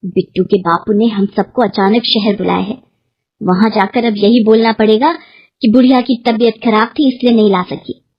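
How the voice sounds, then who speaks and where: A woman's voice: mid-range voice, fairly even intonation, normal speed, breathy edge, no echo, dull audio. A woman voicing a sly, bullying daughter-in-law who threatens and sweet-talks in a story. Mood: menacing.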